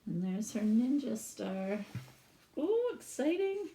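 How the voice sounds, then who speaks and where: A woman with a slightly high voice reads a storybook aloud at a slow, unhurried pace. She is expressive and teacherly, breaking off to put questions to the children listening. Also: background noise. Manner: animated, pausing to ask listeners questions. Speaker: teacher reading a picture book aloud.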